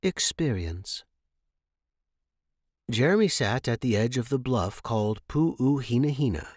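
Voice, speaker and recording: A man's voice: mid-range voice, normal speed, a little mellow, dry recording. Man narrating a story, very dry sound.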